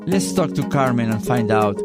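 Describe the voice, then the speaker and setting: Male podcast host, mid-pitched. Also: expressive, moderate pace, loud music behind the voice. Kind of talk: scripted intro and conversational interviewing.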